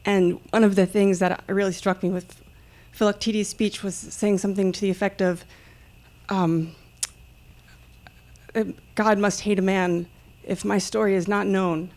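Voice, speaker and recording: A woman speaking from the audience at a live discussion, giving reflective personal testimony in halting phrases. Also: medium pitch, flat delivery, a little mellow. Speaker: audience member. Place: live event space with an audience.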